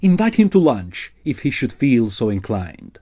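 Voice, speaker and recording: A man's voice: mid-range voice, sing-song, normal speed, mellow. A man playing a composed, encouraging member of a Victorian opera company in an audio drama. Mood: courteous. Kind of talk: period farce audio drama.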